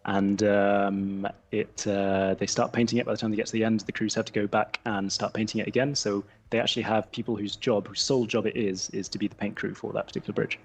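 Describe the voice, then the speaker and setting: A man's voice: fairly low pitch, level tone, slightly rough. A man from Scotland co-hosting a game livestream, explaining tips in casual chat. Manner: quick, helpful explainer. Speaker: game stream co-host.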